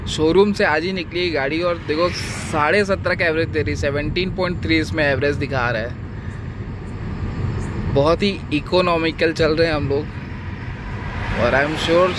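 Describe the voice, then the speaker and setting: Travel vlogger, man in his thirties, somewhat high voice, easygoing and chatty, on a noisy phone recording with car and road sound behind him. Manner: chatty, talks straight to camera with many fillers. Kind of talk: casual travel vlog narration. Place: in a car on a highway at night, outside a roadside hotel, and in a hotel room. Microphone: phone camera held at arm's length.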